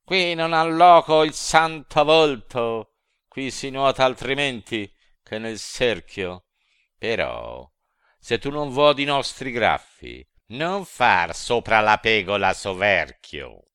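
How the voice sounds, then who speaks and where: A man voicing a pack of mocking devils who jeer and shout at a sinner. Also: mid-range voice, slow, clear, resonant tone, dry recording, studio quality, pitched above the performer's own voice. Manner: jeering, shouted.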